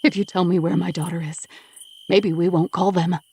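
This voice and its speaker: A woman's voice: fairly low pitch, fairly even intonation, moderate pace, darkish tone, slight background noise, close to an everyday voice. A woman voicing a desperate mother demanding answers, in a tight, forced voice. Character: desperate mother searching for her daughter.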